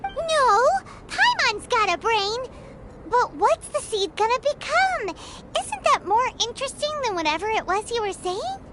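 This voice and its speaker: A woman's voice: very animated, measured pace, heavy background noise, full character voice. A woman voicing a tiny childlike fairy companion in a video game, perky and easily miffed, with cartoonish delivery. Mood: curious, miffed. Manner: squeaky, refers to herself in third person.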